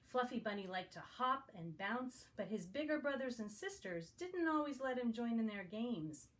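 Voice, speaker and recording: A woman's voice: fairly high pitch, big pitch swings, normal speed, poor audio. A woman reading a picture book aloud to young children as a guest reader. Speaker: guest story reader for preschoolers.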